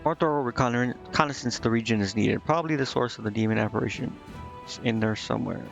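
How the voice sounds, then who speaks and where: Man, creaky gravelly voice, casual game streamer, hesitant, thinking aloud, slightly dull mic, music behind. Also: mid-range voice, fast. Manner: hesitant, muttering to himself as he thinks. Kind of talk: casual live game commentary, reading on-screen text aloud.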